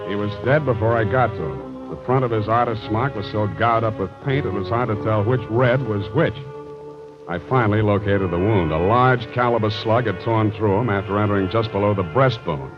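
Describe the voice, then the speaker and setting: An actor playing a sardonic, wisecracking private detective in a 1940s-style radio drama, with narration and banter. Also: male voice, fairly low pitch, a little fast, natural voice. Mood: sardonic narrating. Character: wry hard-boiled male private detective narrating his case. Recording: dull vintage radio recording with music.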